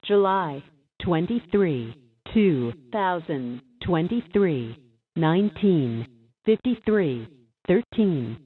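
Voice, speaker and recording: An automated female voice reads out a time stamp slowly and stiffly. Its pitch swings up and down, and the sound is narrow, like a phone line. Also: expressive, noisy background. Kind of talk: stilted recorded date and time announcement. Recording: narrow telephone recording.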